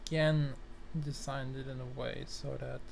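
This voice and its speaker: A man speaks slowly and in a flat, even tone, reasoning aloud through technical details. He often stops mid-sentence to think, and his voice has a noticeable fry. There is some background noise on the recording. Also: mid-range voice. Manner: halting, pausing mid-sentence while reasoning. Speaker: electronics hobbyist presenter.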